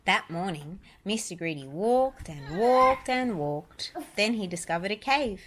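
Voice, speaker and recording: A woman reading a children's story aloud, deliberate and emphatic on repeated descriptive words. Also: mid-range voice, expressive, a little hiss or hum. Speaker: storybook reader, parent.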